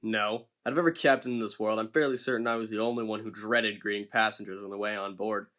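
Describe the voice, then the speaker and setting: A man voicing a grumbling ship captain in an amateur audio drama, deadpan and grumpy. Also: lowish voice, fast, close to an everyday voice. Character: grumbling middle-aged male ship captain.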